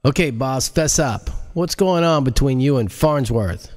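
A playful, prying guy sharing drinks in an audiobook story, performed by a male reader. He teases and probes in a lifted pitch. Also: lively intonation, a little slow, a little mellow, in a large hall, close to an everyday voice. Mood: nosy, playful. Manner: teasing, probing. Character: nosy, playful male friend drinking tequila.

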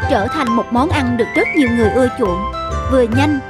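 A woman's voice: fairly high pitch, quick pace, loud music behind the voice. A woman narrating a scripted story as a storyteller.

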